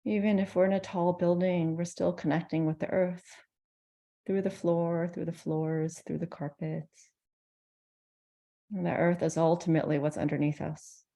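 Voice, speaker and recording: Lady doing guided meditation, kinda low relaxed voice w lots of vocal fry, gentle n soothing, pauses between lines. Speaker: meditation teacher.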